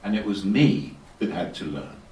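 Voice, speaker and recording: A man with a very low voice answers a question from the audience at a panel discussion. He explains in a measured way and draws on personal stories. He is picked up from a distance in a reverberant room. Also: expressive, mellow. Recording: roomy, distant hall recording.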